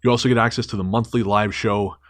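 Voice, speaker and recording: A man's voice: lowish voice, fairly even intonation, quick pace. A man of thirty-six hosting a podcast in a casual, chatty style, earnest with a wry joking streak. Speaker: podcast host.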